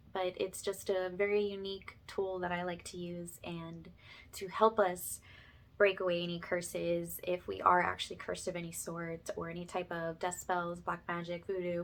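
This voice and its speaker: A woman with a slightly low voice speaks as the host of a spiritual ASMR session. She addresses listeners directly in an informal, reassuring way, often adding small tags to check they are following.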